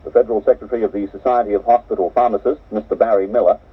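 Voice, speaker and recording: Australian male newsreader on old radio. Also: on the low side. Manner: measured, steady, crisp delivery. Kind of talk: formal news bulletin reading. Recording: muffled cassette of an off-air radio broadcast, voice a little distant.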